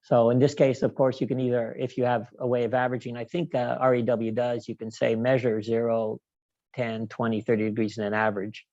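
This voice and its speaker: A man giving a technical talk in a halting, digressive, explanatory way. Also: mid-range voice, level tone, darkish tone, no room sound, highs cut off. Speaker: guest lecturer, loudspeaker engineer. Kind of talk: technical presentation with question and answer.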